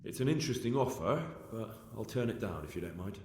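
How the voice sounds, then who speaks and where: A man's voice: on the low side, expressive, quick pace, in a large hall, noisy background. A man playing a young medieval man-at-arms in a video game, dutiful, dryly confident and plain-spoken.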